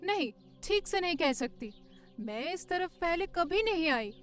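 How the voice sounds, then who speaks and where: A woman's voice: very high-pitched, very animated, unhurried, fairly bright tone, faint music behind, exaggerated voice. A woman voicing a cautious, anxious young girl who questions and scolds fretfully in a Hindi cartoon story. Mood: doubtful.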